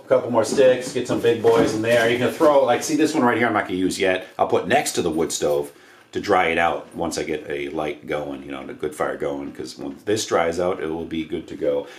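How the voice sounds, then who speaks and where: A man's voice: sits low, a little fast. An American man, around his forties, giving a casual hands-on demonstration in an offhand, slangy, rambling way. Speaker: DIY homesteading presenter. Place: indoors, living room by wood stove. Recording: roomy echo, noise of handled objects.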